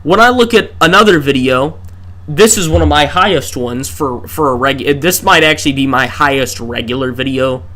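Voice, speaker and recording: A man's voice: mid-range voice, moderate pace, pushed and bright. An exhausted man streaming a game and rambling through rhetorical questions into a desk microphone. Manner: talks through his thoughts with many rhetorical questions and restarts. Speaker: gaming livestreamer. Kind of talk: rambling live-stream rant.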